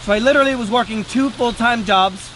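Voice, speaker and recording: A man's voice: very high voice, brisk, fairly bright tone, slight background noise. A man giving a casual, earnest motivational talk to camera, repeating words for emphasis. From Venezuela. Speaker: day trader and trading teacher.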